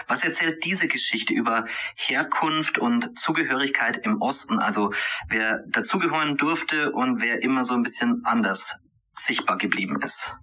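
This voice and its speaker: A man hosting a radio interview, asking his questions in a measured, formal manner. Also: medium pitch, bright, forceful tone, breathy edge.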